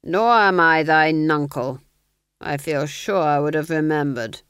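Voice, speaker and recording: A woman's voice: deep voice, unhurried, fairly bright tone, smooth, dry recording, studio quality. A woman voicing a scheming middle-aged male duke who commands with falsely friendly, silky menace. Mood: commanding.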